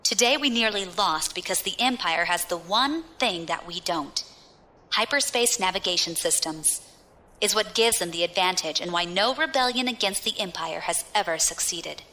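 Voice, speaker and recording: Woman acting a cocky, bright-voiced female space pirate. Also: lowish voice, lively intonation, moderate pace. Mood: determined, confident. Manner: wry, teasing, self-assured. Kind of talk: audio drama acting.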